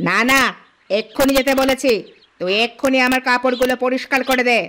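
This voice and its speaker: Woman acting a high-pitched, nagging cartoon stepmother. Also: fairly quick, a little hiss or hum. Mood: scolding, bossy. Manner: nagging, accusing, sharp-tongued. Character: cruel scolding stepmother, falsely sweet before her husband.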